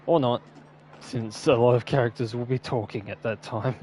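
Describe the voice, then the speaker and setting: A man's voice: medium pitch, steady tone, normal speed, darkish tone, slight background noise. A man giving casual, unscripted gameplay commentary in an offhand, rambling way. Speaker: gaming video host.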